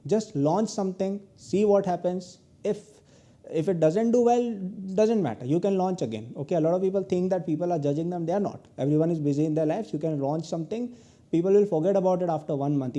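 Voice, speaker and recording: Earnest young Indian man with a dark tone. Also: on the high side, fast. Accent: Indian English. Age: 20s. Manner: earnest advice, tags many lines with "okay?". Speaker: guest lecturer, entrepreneur. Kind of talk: recorded online lecture.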